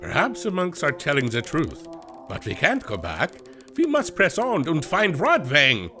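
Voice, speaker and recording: Protective melancholy man, acted, earnest, animated mid-pitched voice, pulp radio serial. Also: unhurried, heavily acted. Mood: resolute. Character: brooding German man, protective and guilt-ridden. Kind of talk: pulp radio adventure acting.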